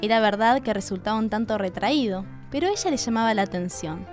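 A woman's voice: mid-range voice, animated, a little fast, soft background music. A woman with an Argentine accent reading scripted narration for a radio drama.

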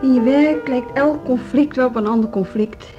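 An actress as a dissatisfied woman music teacher in a radio play. Her voice sits fairly high, with a gloomy, understated delivery. The recording is dull, with clearly audible music behind her. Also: normal speed, natural voice. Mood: weary, melancholy. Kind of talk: naturalistic Dutch radio drama acting.

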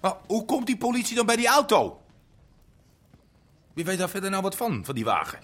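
An actor playing a coarse, suspicious gangster's sidekick in a radio drama. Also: male voice, high voice, huge pitch swings, normal speed, fairly bright tone, full character voice. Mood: suspicious, alarmed.

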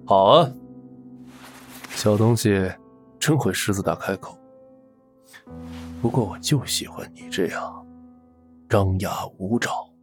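Casting: male actor for smug crime boss, lowish voice, indulgent yet menacing, teasing drawl, faint music bed. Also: slow pace, long pauses. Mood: amused, teasing. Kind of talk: Chinese audio drama acting.

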